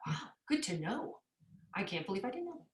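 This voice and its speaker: A woman's voice: mid-range voice, sing-song, fairly quick, a little hiss or hum. A woman hosting a podcast interview, encouraging and enthusiastic toward her guest. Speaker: podcast host. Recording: echoey room.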